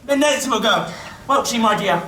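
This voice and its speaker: Someone acting an excitable, scheming old crime boss, echoey stage. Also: mid-range voice, normal speed. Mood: sly, menacing. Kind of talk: stage radio play acting. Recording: echoey stage from far off, faint noise.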